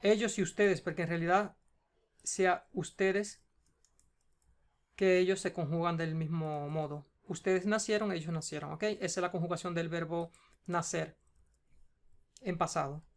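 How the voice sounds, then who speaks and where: A Spanish teacher with a Cuban accent, patiently going through a student's homework and checking in often. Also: female voice, on the low side, fairly even intonation, a little slow, long pauses. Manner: patient, explains step by step, checks in with "ok?".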